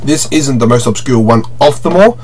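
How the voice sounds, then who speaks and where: A man's voice: mid-range voice, expressive, normal speed, a little mellow, a little hiss or hum. A man in his thirties giving a casual, rambling unboxing review to camera, with playful, sarcastic asides. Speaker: toy collector and video reviewer.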